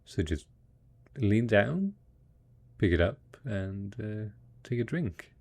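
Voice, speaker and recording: A man playing a devoted, patient husband in an intimate post-apocalyptic audio roleplay, coaxing slowly and hesitantly in a soft, husky voice. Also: on the low side, sing-song, exaggerated voice. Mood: encouraging, fond. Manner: slow, coaxing, hesitant, talking as if to a child. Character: devoted, patient husband tending zombie spouse after apocalypse.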